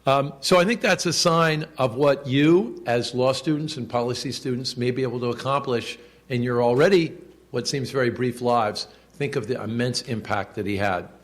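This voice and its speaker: Man, 60s, school official at a podium; formal, loud, deliberate delivery. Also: medium pitch. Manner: measured, reads quotes aloud with emphasis; loud projecting voice. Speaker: school official giving a speech. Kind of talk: formal commemorative speech. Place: indoor event hall.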